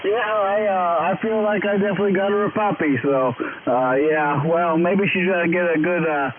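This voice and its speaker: A man's voice: high-pitched, moderate pace. Man over a tinny, noisy radio link. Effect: radio transmission. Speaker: amateur radio operator calling in. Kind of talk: casual ham radio chat. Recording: narrow tinny radio link, loud static.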